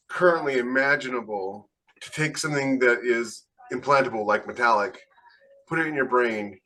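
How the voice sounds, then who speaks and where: Male podcast panelist, medium pitch, bright forceful tone, emphatic conversational delivery. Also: low-quality recording. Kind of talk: casual podcast discussion.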